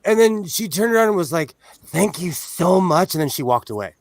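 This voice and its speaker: A man's voice: sits high, lively intonation, moderate pace, noisy background. A man in his forties with a nasal voice, telling joking stories in casual group banter. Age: 40s. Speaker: panelist on a livestream discussion.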